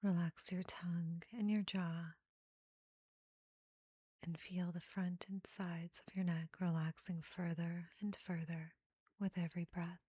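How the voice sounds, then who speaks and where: A woman guides a meditation in a soft, breathy near-whisper. Her delivery is very slow and evenly pitched, with gentle short phrases separated by pauses. The tone is dark and mellow, made to soothe. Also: little pitch movement, dry recording.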